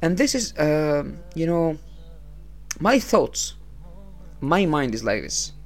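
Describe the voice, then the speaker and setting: Egyptian male podcast host, English, unhurried motivational talk, budget mic. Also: a little high, sing-song, gravelly. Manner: unhurried, repeats phrases, non-native English. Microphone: small low-cost podcast setup.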